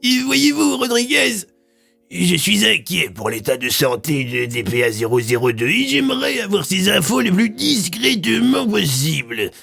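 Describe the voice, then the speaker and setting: An actor playing a scheming, falsely courteous army colonel in an audio drama, coaxing and insinuating. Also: male voice, sits high, sing-song, normal speed, gravelly, sharp tone, faint music behind, exaggerated voice. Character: scheming, ambitious male army colonel, slyly coercive. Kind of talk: French audio fiction acting.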